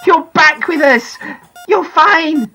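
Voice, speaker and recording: A gentle young nurse from a storybook, speaking softly and comfortingly in a fairly high, bright voice, as a woman reader puts her on. She sounds tender and reassuring. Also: slow pace, soft background music, full character voice.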